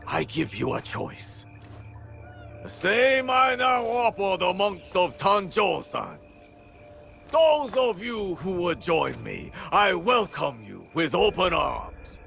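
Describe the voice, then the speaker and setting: Gravelly, fiery rebel warlord. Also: male voice, high-pitched, fairly bright tone, heavily acted. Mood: solemn, imperious. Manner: grandiose, oratorical, commanding. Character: fiery warlord rallying his armed men in feudal Japan. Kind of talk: dramatic video game cutscene acting.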